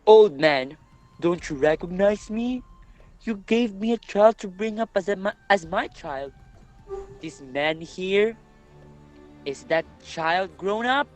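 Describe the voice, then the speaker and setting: A man's voice: high-pitched, expressive, slow pace. A man playing a grave messenger of doom in a readers' theatre Greek tragedy, with dramatic, emphatic delivery. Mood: insistent. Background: music.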